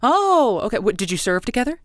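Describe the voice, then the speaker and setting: A woman's voice: on the high side, very animated, a little fast, dry recording. A woman playing a waffling, stammering advice host. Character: hesitant female radio advice host. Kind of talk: improvised comedy call-in show.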